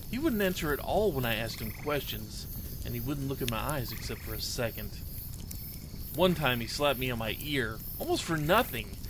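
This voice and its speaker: A man's voice: medium pitch, background noise, lightly acted. A man voicing a young child who earnestly reads out a lonely diary in a simple, storytelling manner. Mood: hurt, indignant.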